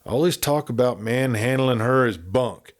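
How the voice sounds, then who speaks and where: A man's voice: medium pitch, unhurried, lightly acted. A man voicing a suspicious outlaw lieutenant. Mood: accusing. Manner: accusing, sharp.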